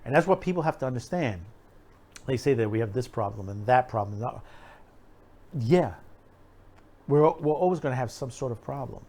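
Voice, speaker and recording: A man's voice: medium pitch, expressive, normal speed, pauses often, dark tone. A middle-aged American man giving unscripted opinion commentary as a show host, rambling and emphatic, often repeating himself. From United States.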